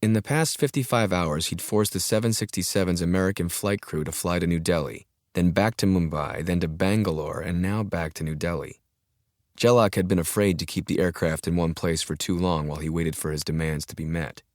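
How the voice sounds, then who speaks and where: A man's voice: sits low, brisk, no echo. A man reading a thriller audiobook as a dramatic scripted narration, recorded close in a studio. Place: dry studio, close mic.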